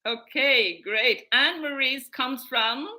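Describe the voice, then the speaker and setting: A woman's voice: high voice, slow, pushed and bright. A woman from Guyana, born in England, hosting and presenting to an online group in an emphatic way, repeating key phrases. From born in England, raised in Guyana. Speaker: host, menstrual wellness coach.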